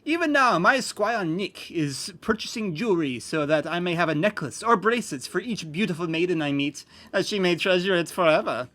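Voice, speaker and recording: A man's voice: high voice, lively intonation, moderate pace, hardly any pauses, a bit bright. A man voicing a vain, boastful knight in an amateur fantasy story reading, with haughty, formal speech. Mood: airy, smug. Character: pompous, boastful male knight, theatrical and vain.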